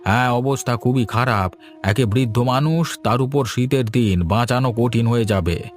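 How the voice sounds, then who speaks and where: A man voicing a grave but kindly village doctor in a cartoon, with solemn, deliberate delivery. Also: mid-range voice, soft background music, lightly acted. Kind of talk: Bengali moral-tale cartoon dubbing.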